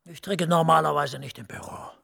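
A gravelly, commanding EU boss. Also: male voice, a little high, fairly even intonation, heavily acted. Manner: measured, authoritative, drops to hushed asides. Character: smooth, calculating male EU director general. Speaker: EU director general. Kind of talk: German TV drama acting.

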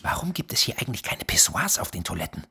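An actor playing an agitated, wry psychiatric patient in a literary radio play, speaking terse diary fragments in a husky voice. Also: male voice, on the low side, big pitch swings, fast talker, bright, forceful tone, slight background noise, full character voice. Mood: agitated, bleak. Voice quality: very husky, breathy, at times whispering. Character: agitated, self-aware, wry male psychiatric patient narrating.

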